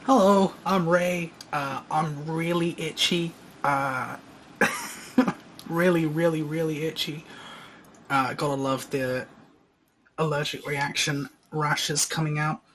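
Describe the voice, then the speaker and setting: A man's voice: a little high, fairly even intonation, takes it slowly, fairly bright tone. A man chatting casually as a player on a tabletop show, over a slightly noisy call mic. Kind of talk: casual joking chat.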